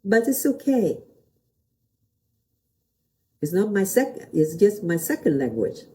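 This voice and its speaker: Slow, mellow Vietnamese-accented woman. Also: mid-range voice, big pitch swings. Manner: simple non-native English with short, repeated phrases and questions to the listener. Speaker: host, motivational teacher and pastor. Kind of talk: motivational talk to viewers.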